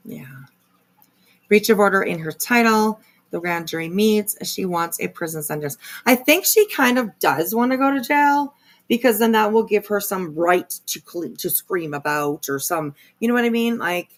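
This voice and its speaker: A woman with a fairly low, heavily creaky voice hosts a casual livestream reaction. She rambles through her commentary in a sardonic, confrontational way. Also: lively intonation, measured pace. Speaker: livestream host reacting to another creator's video.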